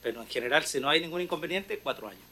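A man giving formal remarks in a fast, animated way. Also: on the high side, slight background noise.

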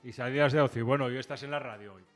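A man hosting a casual radio interview, chatty and joking as he fires quick follow-up questions. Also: medium pitch, faint music behind. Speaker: radio host.

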